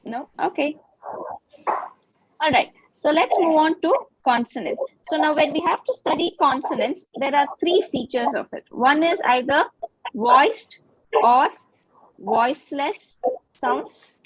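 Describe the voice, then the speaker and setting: A woman's voice: sits high, slow pace, no echo, poor audio. A woman giving an online phonetics lecture, patiently explaining and checking in with frequent questions. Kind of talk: online lecture over video call.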